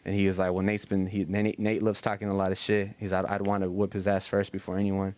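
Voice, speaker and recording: Low, clear-voiced man chatting about sports. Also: completely flat delivery, fast, darkish tone. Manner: slangy, profane. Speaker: podcast host. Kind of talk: casual sports chat.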